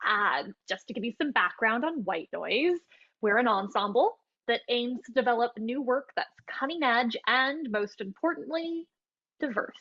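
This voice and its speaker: A businesslike, sincere female casting director, played by a woman. Her voice sits a bit high and she explains things courteously. It is online-play dialogue with slightly dull video-call sound. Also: expressive, normal speed. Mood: earnest. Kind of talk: naturalistic dialogue in an online one-act play.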